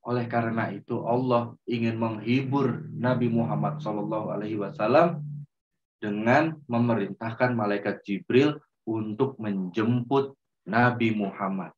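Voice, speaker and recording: A man telling a story to children in a gentle, drawn-out way, often turning to them as friends. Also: lowish voice. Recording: roomy, distant, dull sound.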